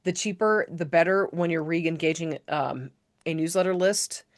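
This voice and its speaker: A woman hosting a casual live online training, chatty and rambling, correcting herself and filling pauses with "um". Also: deep voice. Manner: chatty, rambling, self-correcting with many fillers. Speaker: host of a live author-business training.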